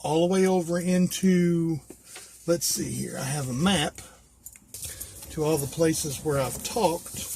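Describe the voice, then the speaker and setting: American man, somewhat high-pitched, very slow pace, casual show-and-tell, informal, thinking aloud. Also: darkish tone, a little hiss or hum. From West Virginia, USA. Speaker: hobbyist vlogger.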